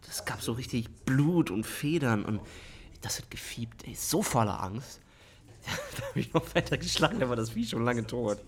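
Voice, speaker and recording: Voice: medium pitch, wildly swooping pitch, normal speed. An actor playing a cocky, callous teenage kid in a naturalistic radio drama. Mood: callous boasting.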